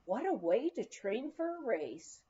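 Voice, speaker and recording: A woman's voice: fairly high pitch, measured pace. A woman reading a first-person memoir aloud, telling the story expressively. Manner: expressive, acts out quoted dialogue. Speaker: audiobook reader of a memoir. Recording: slightly dull, lo-fi sound.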